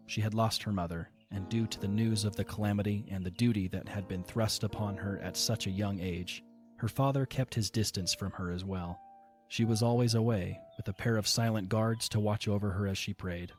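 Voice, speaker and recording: Low-voiced man narrating a story. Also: steady tone. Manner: measured, literary storytelling. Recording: voice over background music.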